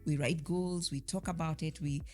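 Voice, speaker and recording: A woman's voice: low voice, fairly even intonation, moderate pace, a little mellow, faint music behind. A woman giving reflective, explanatory answers as an interview guest, emphatic and fond of rhetorical questions. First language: French. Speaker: podcast guest, insurance chief executive.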